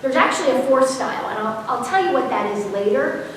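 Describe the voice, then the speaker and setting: A woman in her forties giving a parenting seminar to a live audience, talking loudly and energetically without a microphone. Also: high voice, fairly quick, a little hiss or hum. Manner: loud, energetic, unmiked voice projecting to a room. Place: school auditorium or large hall. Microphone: camera far off, speaker not miked. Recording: echoey hall recorded from far off.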